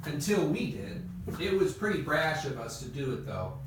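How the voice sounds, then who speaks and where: A man's voice: a little high, big pitch swings, moderate pace. A man playing a confident, wry inventor in an amateur radio play. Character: Wilbur Wright, confident, wry adult male inventor. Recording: echoey room, distant mic, noisy background.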